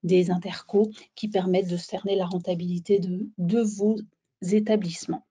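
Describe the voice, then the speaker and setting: A woman presenting a software webinar in an explanatory, somewhat halting way, as if walking listeners through slides. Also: lowish voice, steady tone, slightly rough.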